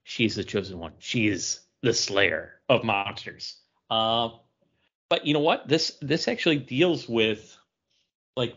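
Bright forceful guy chatting comics as podcast co-host, slightly lo-fi sound. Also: mid-range voice. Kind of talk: casual comic book review chat.

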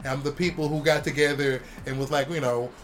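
A man's voice: medium pitch, fairly even intonation, fairly quick, a little hiss or hum. A man chatting casually about comics and movies, rambling with lots of slang and fillers. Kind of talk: casual comic book chat.

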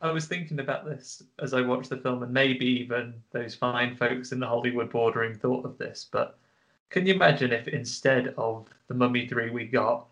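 A man's voice: mid-range voice, steady tone, normal speed, a bit bright, rough recording. A British man co-hosting a podcast, thinking aloud in casual chat. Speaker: podcast co-host.

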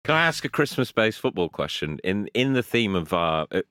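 A playful man co-hosting a football podcast. His voice is bright and swoops all over in pitch. The sound is dry and close. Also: sing-song, brisk, clear, resonant tone, dry recording. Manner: laughs a lot, playful. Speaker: podcast co-host. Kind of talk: casual banter.

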